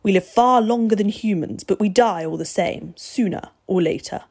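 A woman's voice: medium pitch, normal speed, darkish tone, breathy edge. A woman voicing a stern, menacing male vampire with formal, clipped, growling speech, in a storybook reading. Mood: grim, weary.

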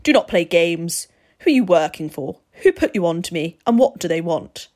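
A woman's voice: mid-range voice, lively intonation, normal speed, darkish tone. A woman voicing a stern, menacing male vampire with formal, clipped, growling speech, in a storybook reading. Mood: stern, suspicious.

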